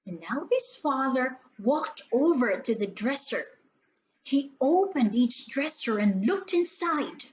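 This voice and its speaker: A woman's voice: high-pitched, very animated, takes it slowly, poor audio. A woman reading a scripted children's story aloud as the storyteller.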